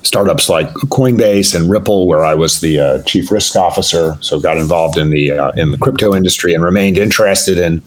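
A middle-aged man from the East Coast, a CEO telling his career story in a measured way in a podcast interview. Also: low voice. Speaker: guest, company CEO.

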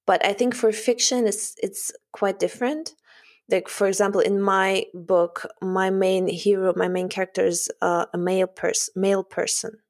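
Author guest, woman with a German accent, gravelly edge, relaxed and chatty. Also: mid-range voice, moderate pace. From Russian-German background, lives in Germany. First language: German or Russian. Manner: thoughtful, laughs often, self-conscious. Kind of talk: casual podcast interview.

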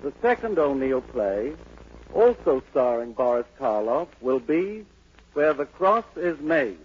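A man's voice: medium pitch, very animated, measured pace, slightly rough. A man announcing a programme in scripted 1940s radio style. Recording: dull old radio broadcast.

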